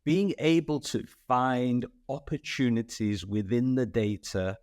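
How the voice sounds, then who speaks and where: A man's voice: medium pitch, a little slow. A man who owns a dental practice, chatting on a podcast over a video call. He tells stories with energy and often ends points with "right?". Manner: animated storyteller, tag questions. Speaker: practice owner. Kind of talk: casual podcast conversation.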